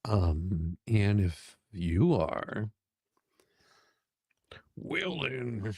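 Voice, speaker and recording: A man's voice: lowish voice, very animated, drawn-out words, gravelly, darkish tone, breathy edge, no echo, full character voice. A man playing a cocky, flirtatious guy in a romance drama, drawling and teasing as he speaks. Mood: amused drawl.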